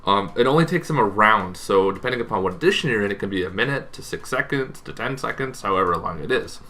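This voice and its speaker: A man's voice: on the low side, expressive, quick pace, sharp tone. A man explaining tabletop game monsters in a casual, semi-improvised way, correcting himself and adding informal asides as he goes. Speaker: host of a tabletop gaming channel.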